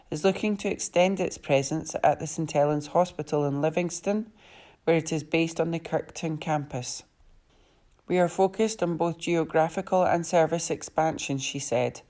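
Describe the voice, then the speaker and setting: Low, mellow woman reading aloud. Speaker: volunteer newspaper reader. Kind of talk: scripted newspaper article reading.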